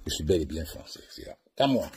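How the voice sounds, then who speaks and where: A man's voice: extremely low pitch, expressive, fast, noisy background. A man with a Cameroonian French accent delivering an emphatic, accusatory political monologue, addressing opponents directly as an activist.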